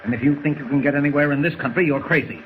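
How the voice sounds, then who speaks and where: An actor playing a blunt, defiant older newspaper editor, indignant in a 1940s radio drama. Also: male voice, on the high side, very fast, narrow, tinny audio, close to an everyday voice. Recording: slightly hissy old 1940s radio recording.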